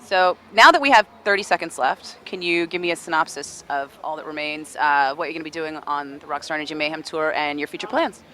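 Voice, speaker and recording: A woman radio host interviewing a musician outdoors, teasing him with quick banter. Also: sits low, pushed and bright. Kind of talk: casual interview. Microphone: handheld mic, filmed on camera.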